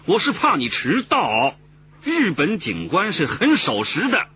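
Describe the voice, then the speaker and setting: Radio drama: bossy police squad chief, wry and lively, Mainland Mandarin accent, muffled noisy sound. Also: male voice, high-pitched, unhurried, full character voice. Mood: brusque, bossy. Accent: Mainland Chinese Mandarin. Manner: brusque, commanding, repeats orders.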